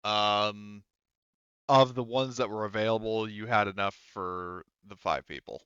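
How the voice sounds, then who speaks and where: A man's voice: lowish voice, steady tone, a little slow, pushed and bright, clear, resonant tone, no echo, muffled recording. A man casually improvising as game master of a tabletop roleplaying game.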